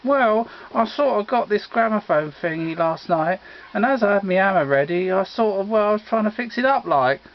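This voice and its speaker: Man playing wiry, money-mad tinkerer for comic storybook; rambling, colloquial, animated pitch, dull recording. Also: normal speed. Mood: sheepish. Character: excitable gold-hungry wiry male gramophone tinkerer.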